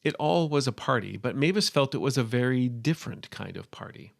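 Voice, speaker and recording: A male storyteller with plenty of vocal fry and a medium pitch. He narrates fiction and author's notes. The recording is close and very dry. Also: expressive, brisk, dry recording.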